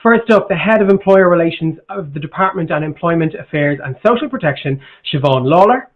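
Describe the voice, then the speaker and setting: Man, enthusiastic panel host, high voice, slightly muffled call audio. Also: animated, brisk. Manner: enthusiastic, repeats words. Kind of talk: virtual conference panel hosting. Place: home room. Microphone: earbuds on video call.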